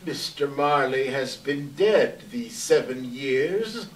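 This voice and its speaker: A man's voice: medium pitch, expressive, takes it slowly. A man playing a cold, miserly, grumbling old businessman in a stage reading, with a curt, sneering delivery. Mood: cold, scornful. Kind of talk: readers theater stage reading. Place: live indoor readers theater event. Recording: distant mic in echoey room, hiss.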